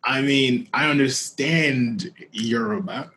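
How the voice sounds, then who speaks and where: A man hosting a podcast interview in a relaxed, easygoing way, laughing often and leaning on "you know". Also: medium pitch, takes it slowly. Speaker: podcast host. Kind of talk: casual interview chat.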